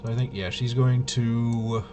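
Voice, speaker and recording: A man's voice: medium pitch, level tone, unhurried, noisy background. A man casually thinking aloud as he plays a tabletop roleplaying game, hesitant and muttering to himself while he checks rules. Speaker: solo tabletop RPG player demonstrating gameplay.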